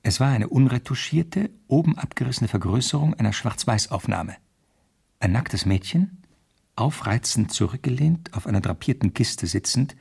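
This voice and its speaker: A young male detective telling his case in the first person for a radio drama. The voice is low, creaky and husky, the delivery sober and precise. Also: a little mellow, exaggerated voice.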